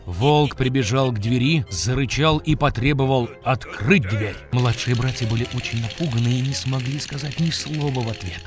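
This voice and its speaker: A storyteller narrating a scripted fairy tale for children in an expressive, dramatic manner. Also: mid-range voice, moderate pace, hardly any pauses. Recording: music behind the voice. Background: music.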